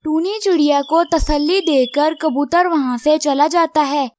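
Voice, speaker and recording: A woman narrates a children's cartoon tale from a script. Her voice is very high in pitch. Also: measured pace, a little hiss or hum.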